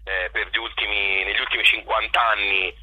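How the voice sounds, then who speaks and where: A man with a Tuscan accent talking off the cuff as a phone-in interview guest, halting and repeating words as he looks for the phrasing. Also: fairly low pitch, flat delivery, pushed and bright, slight background noise. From provincial Tuscany, Italy. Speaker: interview guest, playwright and actor. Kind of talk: spontaneous phone interview talk. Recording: harsh telephone line on radio.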